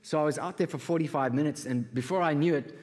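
A middle-aged man with a South African accent giving a stage talk, telling personal stories with pauses and repeated words. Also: a little high, a little mellow. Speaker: conference speaker, creative entrepreneur. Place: large indoor auditorium stage. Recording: reverberant auditorium hall.

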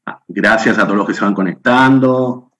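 A man's voice: on the low side, normal speed, fairly bright tone. A middle-aged man from Argentina with an Argentine accent, hosting a live webinar from a room at home over a webcam and chatting as he greets viewers by name. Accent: Argentine Spanish. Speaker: webinar host. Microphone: webcam on video call.

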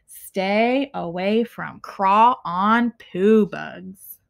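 A young adult woman reading a children's picture book aloud expressively, with deliberate, emphatic phrasing and pauses between short phrases. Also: mid-range voice, vocal fry. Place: small living room at home, indoors.

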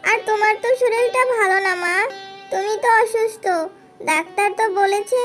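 A cartoon little fox girl, speaks in a tiny, very high voice. She is earnest, devoted and full of worry, with music playing behind her. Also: normal speed, fairly bright tone. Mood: caring, worried. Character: worried, devoted young fox daughter, pleading and earnest. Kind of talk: Bengali children's cartoon dubbing.

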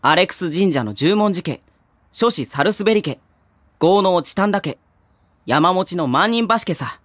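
A man playing a cheerful, excitable teenage schoolboy who gives animated battle commentary in a comedic anime audio drama. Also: high-pitched, moderate pace, no room sound. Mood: eager explaining. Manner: animated, expository, awestruck. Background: music.

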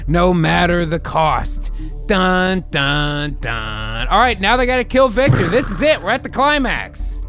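Slow-paced, higher-voiced man hosting over music. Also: sing-song, fairly bright tone. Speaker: host of an improv movie-writing show. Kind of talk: casual banter and dramatic read-aloud narration of scripts. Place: home room, video call.

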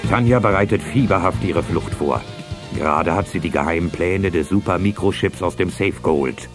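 A man's voice: sits low, moderate pace, close to an everyday voice. A man narrating a scripted radio play as its storyteller. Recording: music behind, cassette radio play.

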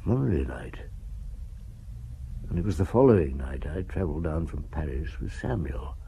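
An actor in a British radio drama playing a shrewd, stubborn middle-aged French chief inspector, wry and given to murmuring to himself. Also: male voice, rumbling low, expressive, normal speed, gravelly, warm tone, a little hiss or hum, exaggerated voice. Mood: brooding.

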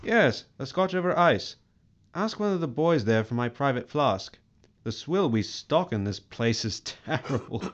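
An actor playing a pompous, self-absorbed boss in an audio drama, grandiose and breezily dismissive. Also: male voice, medium pitch, expressive, measured pace, highs cut off, lightly acted. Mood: breezy, imperious.

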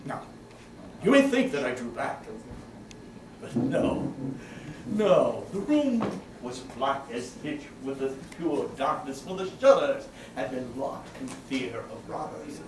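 A cunning, gleeful madman confessing to murder on a gothic stage. He draws his words out very slowly, with theatrical pauses and outbursts. It is picked up from a distance in an echoing, noisy room. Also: high voice. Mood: tense, secretive. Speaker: stage actor. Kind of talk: live dramatic reading of a gothic horror monologue. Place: small brick-walled cafe with seated audience. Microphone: distant camera microphone. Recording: roomy, noisy recording from across the room.

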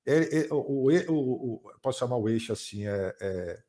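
A man's voice: medium pitch, lively intonation, normal speed, dark tone. A man in his fifties hosting a casual live stream from a home room with bookshelves, teasing his co-host and reading viewer comments aloud. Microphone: headset.

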